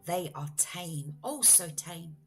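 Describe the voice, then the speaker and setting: A mischievous showman of a cat from a children's storybook, acted out by a woman. The words come slowly and drawn out, coaxing and reassuring, in a soft-edged husky voice. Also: on the low side, animated, faint music behind, exaggerated voice. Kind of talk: children's storybook read-aloud.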